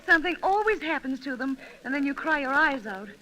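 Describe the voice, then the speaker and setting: A sympathetic, worldly-wise woman speaks in a high voice. The delivery is theatrical 1930s radio drama, with strong noise on the old recording. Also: expressive, a little fast. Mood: matter-of-fact.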